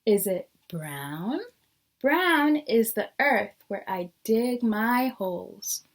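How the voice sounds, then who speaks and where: Slow, higher-voiced woman reading to children. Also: expressive. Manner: simple, explanatory, addressing young children with questions. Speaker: teacher reading a picture book aloud to young children. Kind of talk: children's read-aloud.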